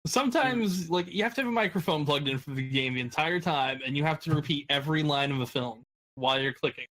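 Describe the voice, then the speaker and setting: A man's voice: fairly high pitch, animated, quick pace, fairly bright tone. A sweary man chatting casually as a panelist in a podcast group discussion.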